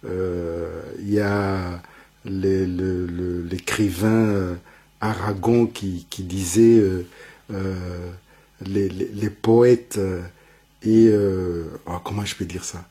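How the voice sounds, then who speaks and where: Slow, hesitant male interviewer. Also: deep voice, steady tone, dark tone. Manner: hesitant, halting, many fillers. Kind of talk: casual interview.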